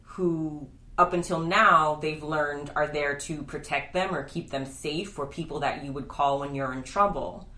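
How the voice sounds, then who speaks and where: A woman's voice: low voice, a little slow, hardly any pauses, pushed and bright. A woman hosting a podcast interview, chatty and enthusiastic, often checking in with "right?". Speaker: podcast host. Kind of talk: casual interview conversation. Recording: echoey room.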